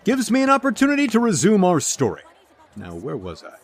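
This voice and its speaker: An actor playing a vain, self-assured storyteller in a comedic audio drama, pompous and theatrical. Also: male voice, high-pitched, huge pitch swings, fairly quick, full character voice.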